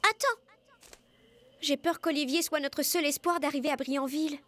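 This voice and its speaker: A woman's voice: high-pitched, fairly even intonation, moderate pace, fairly bright tone. A woman voicing a kind, sensible young female rabbit in a cartoon, earnest and decisive. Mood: cautious, decisive. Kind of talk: French cartoon character acting.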